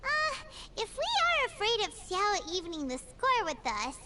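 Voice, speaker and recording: A woman voicing a tiny, cheerful, excitable fairy companion in an anime-style video game, with a squeaky childlike voice and dramatic exclamations. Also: unhurried, heavy background noise, full character voice. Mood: eager, scheming. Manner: speaks of self in third person, exclaims dramatically. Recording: loud music behind the voice. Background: loud music.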